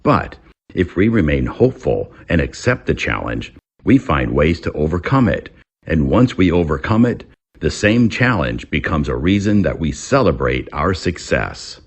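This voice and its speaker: Deep voiced guy reading english lesson stuff, slow n deliberate, husky, lots of movement in his pitch. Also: very animated. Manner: deliberate, instructional. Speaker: English teacher.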